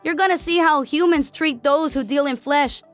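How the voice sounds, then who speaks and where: A woman's voice: high-pitched, moderate pace, voice pushed up, close to an everyday voice. A woman voicing a harsh, taunting human army sergeant in an audio story. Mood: gloating. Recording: music under the voice.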